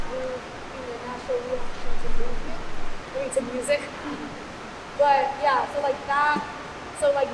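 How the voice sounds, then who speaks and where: Young woman, high voice, candid and rambling, echoey room with hiss. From Los Angeles, USA. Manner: candid, loose, rambling with many fillers. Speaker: dance panelist. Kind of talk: candid casual Q&A answer. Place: room before a live audience.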